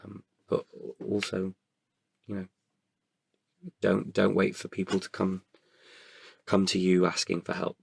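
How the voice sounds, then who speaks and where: A man's voice: low-pitched, completely flat delivery, unhurried, gravelly, long pauses, slightly rough. A British man talking reflectively in an interview, candid and thoughtful, often hesitant as he searches for words. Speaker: podcast guest, performer.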